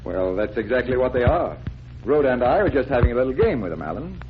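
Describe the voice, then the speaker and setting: A man's voice: mid-range voice, sing-song, fast, close to an everyday voice. A man playing a suave magician detective, polite, genial and unflustered, in 1940s radio drama style. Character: suave, composed adult male magician detective. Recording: dull vintage radio recording, music behind.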